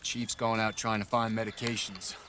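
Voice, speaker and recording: An actor playing a cautious, principled American army captain in a Western video game, speaking in a polite, measured, hesitant way. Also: male voice, lowish voice, flat delivery, pushed and bright, slight background noise. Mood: troubled. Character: earnest, cautious, principled young army captain in the Old West. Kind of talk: dramatic video game acting.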